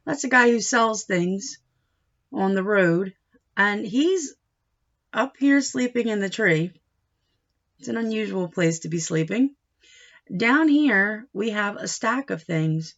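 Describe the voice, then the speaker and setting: A woman with a fairly high voice teaches young children through a picture book. She speaks slowly in short, instructive phrases and puts expression into the reading. The recording sounds slightly dull and lo-fi. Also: gravelly, fairly bright tone. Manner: instructive, asking questions and answering them. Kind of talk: read-aloud lesson for young children.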